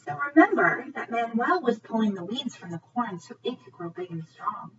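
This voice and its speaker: A woman's voice: fairly high pitch, big pitch swings, moderate pace, noisy background. A woman reading a story aloud for young children in a teacherly way, often checking in with questions. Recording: slightly muffled, distant mic.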